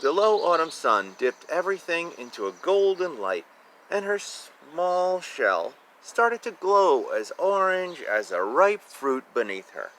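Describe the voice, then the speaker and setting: A man's voice: a little high, very animated, unhurried, a bit bright, slight background noise, low-quality recording. A man reading a picture book aloud expressively, with informal asides about the illustrations. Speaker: reader of a children's picture book.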